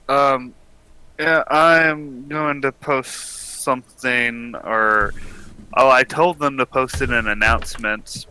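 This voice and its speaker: Slow-talking man, bright and crisp. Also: medium pitch, low-quality recording. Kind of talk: casual group chat on a livestream.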